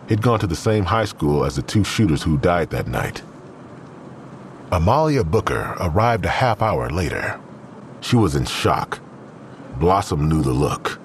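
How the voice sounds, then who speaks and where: A man's voice: deep voice, slight background noise. A man narrating a scripted crime audio drama as its storyteller.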